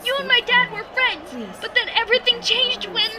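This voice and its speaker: A woman's voice: very high voice, animated, normal speed, over loud music, a loud crowd. A woman acting in an audio drama as a worried but brave young pony, earnest and pleading. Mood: frightened, pleading.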